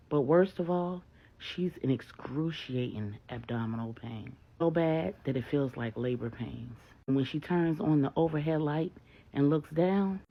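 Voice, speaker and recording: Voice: medium pitch, warm tone. A storyteller narrating a dramatic tale for adults in a vivid, colloquial way.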